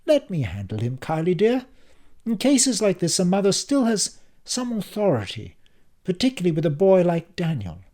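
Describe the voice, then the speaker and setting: A man voicing a melodramatic mother who scolds her grown son in a high, rising voice. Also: huge pitch swings, moderate pace, dark tone. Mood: scolding.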